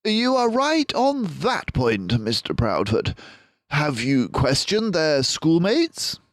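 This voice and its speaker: A man voicing a calm, courteous and inquisitive male mouse detective in a storybook, with measured questioning. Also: fairly high pitch, no echo, voice pushed up, heavily acted.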